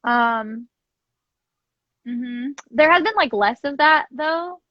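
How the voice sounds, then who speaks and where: A woman's voice: high voice, animated, slow pace. A woman talking casually and reflectively as a podcast co-host, often checking in with "like" and "right?". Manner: tentative phrasing with frequent "like" and "right?" check-ins. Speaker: podcast co-host discussing self-study. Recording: muffled lo-fi sound, roomy echo.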